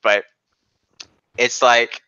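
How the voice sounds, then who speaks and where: A man's voice: medium pitch, level tone, extremely slow, sharp tone, clean tone, no room sound, highs cut off. A young man in casual banter, talking in a slangy, argumentative and boastful way.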